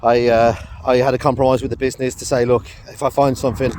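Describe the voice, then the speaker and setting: A middle-aged man with a croaky throat rambles through a casual vlog while out walking. He talks in a loose, chatty way, full of fillers and little laughs. Faint outdoor ambience sits behind his voice. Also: medium pitch, flat delivery, fast, darkish tone, slight background noise. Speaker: vlogger. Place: outdoors on a walk with a dog.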